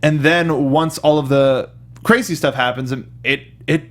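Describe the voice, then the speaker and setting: Casual male co-host, slightly high voice. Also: a little slow. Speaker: review show co-host. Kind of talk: casual chat reviewing video game films.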